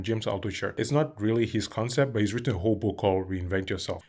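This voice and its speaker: Man, from Cameroon, thirties, earnest reflective vlog, lowish voice, faint music behind. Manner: earnest, measured, lists points one by one. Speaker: vlogger. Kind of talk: reflective self-help monologue to camera.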